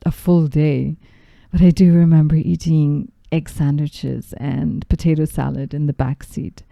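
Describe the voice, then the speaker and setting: Low gravelly woman host from zambia reading a script, super dark voice, dead dry sound. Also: dry recording, muffled recording. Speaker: podcast host. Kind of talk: scripted narration.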